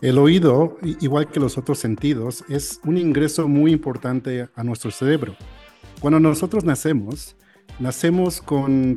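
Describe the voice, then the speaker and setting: American man with a soft, dark voice. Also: medium pitch. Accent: American English. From United States. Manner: halting, frequent restarts and fillers. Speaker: audiologist guest. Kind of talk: interview, explaining.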